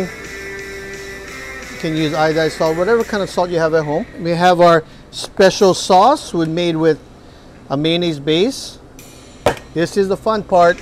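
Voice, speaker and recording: A chef in his forties talks viewers through his recipes in a restaurant kitchen. His voice sits high, and he keeps an upbeat, hyped-up tone. Faint music plays underneath. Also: poor audio. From Hawaii. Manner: upbeat, hypes the food to viewers. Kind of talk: cooking demonstration.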